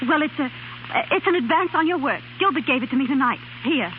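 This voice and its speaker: An actress playing a proud, hard-working young American wife, earnest and emotional, in 1930s radio drama style. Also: female voice, high voice, lively intonation, fairly quick, a little hiss or hum. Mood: pleading. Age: young adult. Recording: muffled 1930s radio broadcast, music.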